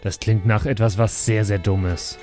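A man's voice: on the low side, fairly even intonation, moderate pace, darkish tone. A man playing in an improvised role-play, chatting casually in a dry, sceptical way. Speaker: player in a pen and paper podcast. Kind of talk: improvised in-character casual chat. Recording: faint music bed behind the voice. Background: faint background music.

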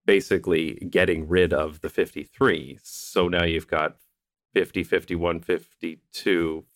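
A man's voice: low voice, steady tone, a little slow, no echo, clean studio sound. A man hosting a casual podcast conversation, explaining things at length in a thoughtful way. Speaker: podcast host.

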